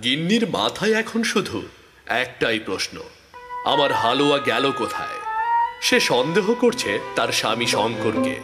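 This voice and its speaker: A man narrating a cartoon, his mid-pitched voice rising and falling in a lively storytelling way. A long echo trails his words, with loud music underneath.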